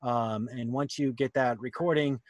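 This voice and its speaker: Guy running an online q&a, casual explainer, normal pitch, pretty dry sound. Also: steady tone, normal speed, no echo. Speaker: workshop presenter. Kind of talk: casual explanatory Q&A on a video call. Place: video call. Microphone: computer mic on a video call.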